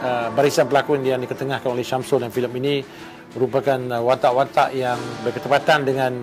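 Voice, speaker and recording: A man's voice: mid-range voice, little pitch movement, fast, rough recording. A Malaysian man talking about a film in a promotional interview. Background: music.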